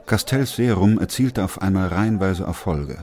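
An actor playing a composed, middle-aged doctor who narrates a plague chronicle in a radio drama, calm and sober. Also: male voice, sits low, moderate pace. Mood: sober narration.

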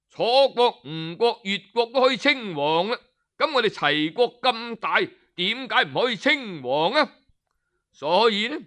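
A man with a Hong Kong Cantonese accent telling a traditional story, animated and dramatic, with interjections and pauses. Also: high-pitched, clear, resonant tone.

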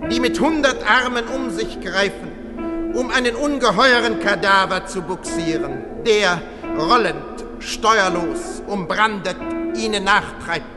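A man's voice: high voice, a little slow. A man delivering dramatic scripted narration for a radio piece. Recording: long reverb under loud music.

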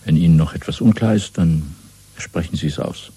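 An actor playing a calm, commanding middle-aged party official in a German radio play, speaking in a measured, didactic and coolly authoritative way. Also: male voice, a bass voice, husky, heavily acted. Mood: cool, instructing.

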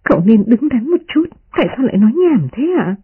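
A performer voicing a sensible young maidservant woman who scolds gently and respectfully, in a storybook reading. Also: medium pitch, big pitch swings, fast talker, breathy edge, no echo, phone-quality sound, voice pushed up. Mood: earnest, reproving. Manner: earnest, reproving.

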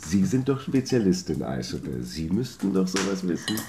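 An actor playing a charming, evasive husband in a German radio drama, coaxing and affectionate in manner. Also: male voice, deep voice, big pitch swings, normal speed, noisy background. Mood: curious, coaxing. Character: charming, evasive husband who coaxes and flatters.

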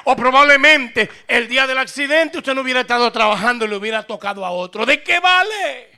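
High bright-voiced preaching pastor. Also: male voice, animated, a little fast, clean tone. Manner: swings from conversational to shouted preaching. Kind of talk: sermon.

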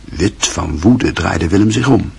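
Low husky guy narrating a radio play, literary story reading, really rough voice. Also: lively intonation, unhurried, a little mellow.

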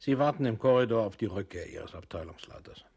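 A methodical machine voice in an experimental radio play. He is a low, gravelly man who rattles out matter-of-fact instructions at a fast clip. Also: animated, a little mellow, exaggerated voice. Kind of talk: experimental German radio play, scripted recitation.

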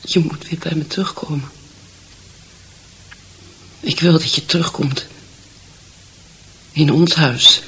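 A woman's voice: sits low, fairly even intonation, slow pace, long pauses, fairly bright tone, breathy edge, heavily acted, poor audio. An actress playing a frail, desperate wife who pleads insistently with her husband in a radio drama. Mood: subdued, pleading.

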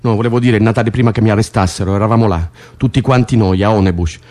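A man's voice: fairly low pitch, racing, a little mellow, close to an everyday voice. A man acting in an Italian radio drama, playing a weary, driven political prisoner who bosses his cellmate with wry, foul-mouthed exasperation. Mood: weary, rueful.

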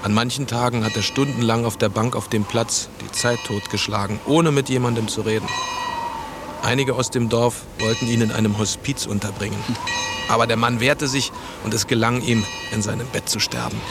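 An actor playing a calm, matter-of-fact village man in a radio play. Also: medium pitch, normal speed, close to an everyday voice. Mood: somber. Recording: music under voice. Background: music.

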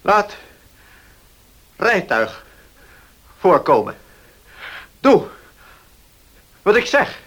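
An actor in a radio play voicing an ailing, stubborn notary who speaks in a halting, strained way. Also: male voice, high voice, big pitch swings, fairly bright tone, exaggerated voice. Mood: weak, commanding. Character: ailing, stubborn notary, desperate to make amends.

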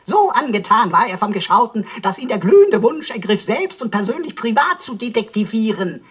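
A woman voicing a cheeky, chattering computer sidekick in a comic radio drama, husky and given to flowery, theatrical phrasing. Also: a little high, big pitch swings, moderate pace. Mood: pompous, playful. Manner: flowery mock-archaic speech, theatrical.

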